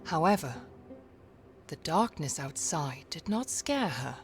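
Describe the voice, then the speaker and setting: A performer narrating a video game as a gentle, solemn storyteller, reading a scripted fairy tale. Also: medium pitch, sing-song, takes it slowly. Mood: solemn, measured. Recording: game audio with music underneath.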